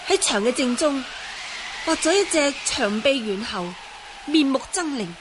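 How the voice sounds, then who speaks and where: An earnest young martial-arts heroine in a Cantonese radio drama. Her voice is very high and her delivery is deferential and vivid. Background noise is clearly audible.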